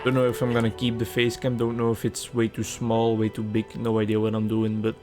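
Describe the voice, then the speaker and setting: A man's voice: lowish voice, completely flat delivery, fairly quick, music in the background. A young adult man, a native Dutch speaker, chatting casually and rambling over his gameplay for a video. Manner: chatty, rambling, self-aware. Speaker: gaming video creator.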